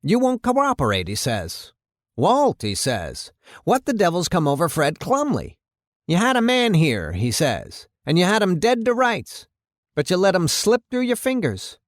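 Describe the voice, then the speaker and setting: A man's voice: high voice, wildly swooping pitch, measured pace, no echo, studio quality, voice pushed up, heavily acted. A man voicing a blustering, angry city mayor in an indignant, hectoring, emphatic way for an audiobook. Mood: accusing, exasperated.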